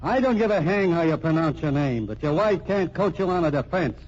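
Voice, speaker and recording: Casting: short-fused American homicide captain, hard-boiled, accusing, old radio style, muffled recording. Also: male voice, fairly high pitch, animated, fairly quick, a little mellow. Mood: stern, impatient. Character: tough, blunt, short-tempered police homicide captain. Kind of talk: old-time radio crime drama acting.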